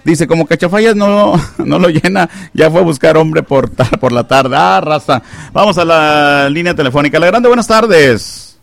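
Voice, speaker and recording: A man's voice: fairly high pitch, normal speed, vocal fry. A man hosting a call-in radio show, chatting and teasing callers in a joking way. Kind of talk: casual call-in radio banter. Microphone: studio microphone.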